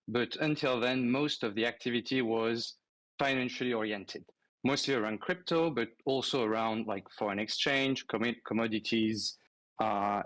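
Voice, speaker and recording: A man with a French accent talks as a guest on a casual online show. He explains at length and keeps stopping to restart a thought or add a filler. His voice sits at an ordinary pitch and sounds dry and close, with no room around it. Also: a bit bright, no room sound, low-quality recording. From France.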